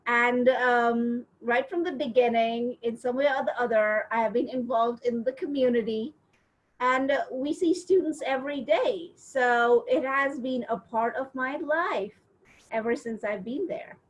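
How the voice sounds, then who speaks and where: A middle-aged woman from India, a professor, talking on a video call about her community work in a measured, steady way. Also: fairly high pitch, lo-fi sound. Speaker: interview guest, professor. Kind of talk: casual video-call interview.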